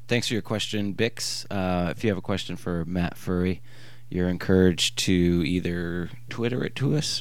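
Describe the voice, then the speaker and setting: A man hosting a casual interview, rambling and joking with many fillers. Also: low-pitched. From Ohio, USA. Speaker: podcast host.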